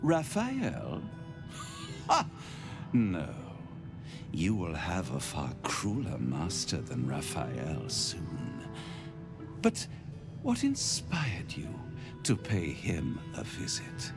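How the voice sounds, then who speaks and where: An actor playing a seductive, cruel and playful incubus in a video game, with theatrical, teasing delivery. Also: male voice, deep voice, huge pitch swings, drawn-out words, vocal fry, pauses often, over music, exaggerated voice. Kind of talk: video game character acting.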